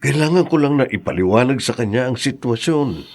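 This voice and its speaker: An actor playing a calm, reassuring widowed father in a radio drama, soothing and patient, with a Filipino accent. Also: medium pitch, brisk.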